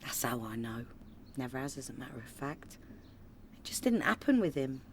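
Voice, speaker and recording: Woman acting a lively London wife and mum. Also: very deep voice, normal speed, a little hiss or hum. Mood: frank. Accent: London English. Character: working-class London wife and mum, loyal, indignant. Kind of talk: comedy radio play acting.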